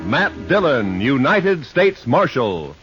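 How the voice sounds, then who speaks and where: A man's voice: mid-range voice, very animated, takes it slowly. A man announcing a 1950s western radio drama with dramatic scripted narration. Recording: muffled 1950s radio broadcast recording. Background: loud theme music.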